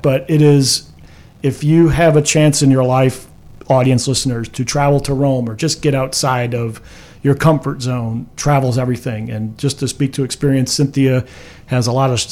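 A man's voice: medium pitch, a little mellow. A man in his fifties from the United States, co-hosting a casual talk show in a chatty, rambling, teasing way. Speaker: co-host.

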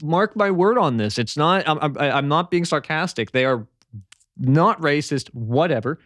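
A man's voice: fairly high pitch, lively intonation, moderate pace, a bit bright, no room sound, clean studio sound. A man hosting a casual podcast chat. He rambles and repeats words while he searches for what to say. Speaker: podcast host. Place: home in eastern Germany.

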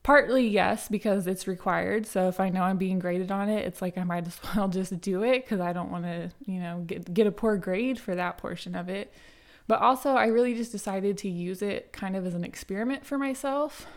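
A young woman with a slightly raspy voice hosts a relaxed solo podcast. She talks in a loose, rambling way, full of "um" and "like", and keeps coming back to restart her sentences. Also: mid-range voice, normal speed. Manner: chatty, many fillers and restarts, light laughs. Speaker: podcast host. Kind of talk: casual solo podcast storytelling.

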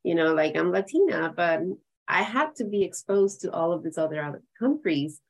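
Female podcast host from Ecuador, low-pitched, affirming and conversational. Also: animated, moderate pace, vocal fry. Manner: encouraging, affirming. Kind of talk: conversational interviewing.

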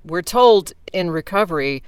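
A woman with a deep voice hosting an earnest faith-based conversation, often saying "you know". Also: animated, normal speed, smooth, no echo. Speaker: podcast host.

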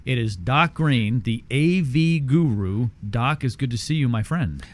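A man's voice: mid-range voice, expressive, a little slow. A man hosting a radio show, talking in an upbeat, salesy, emphatic way in a studio. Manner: salesy, emphatic, repeats phone numbers. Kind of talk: upbeat radio talk and read ads.

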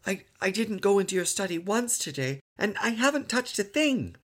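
A man voicing a delicate, timid little boy who speaks softly and stammers, in an audiobook reading. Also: high voice, big pitch swings, normal speed, voice pushed up, full character voice. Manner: soft, stammering.

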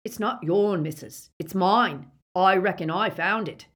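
A woman voicing a stubborn, uneducated male ruffian in an audiobook, gruff and grunting. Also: on the low side, measured pace, fairly bright tone. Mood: gruff defiance. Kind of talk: audiobook character acting.

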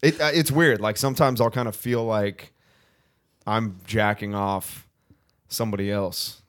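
A man's voice: fairly low pitch, steady tone, measured pace. A man from Southern California with an American accent, chatting casually and candidly as a podcast guest. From Southern California, United States.

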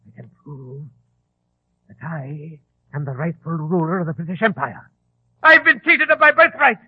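A man's voice: a little high, animated, normal speed, slightly rough, no room sound. An actor in 1940s radio style playing a nervous, vain, grandiose English madman who rants and repeats himself. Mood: ranting, aggrieved. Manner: agitated, repeats himself, ranting. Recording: tinny, band-limited old radio broadcast.

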